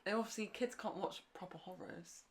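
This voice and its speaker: A young adult woman co-hosting a podcast in casual chat, agreeable and quick to react. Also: mid-range voice. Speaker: podcast co-host.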